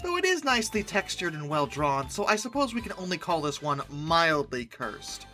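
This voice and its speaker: Bright, very animated sarcastic commentator. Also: mid-range voice, normal speed, faint music behind. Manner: sarcastic, exasperated, mock-incredulous. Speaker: video host. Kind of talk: comic commentary on dinosaur art.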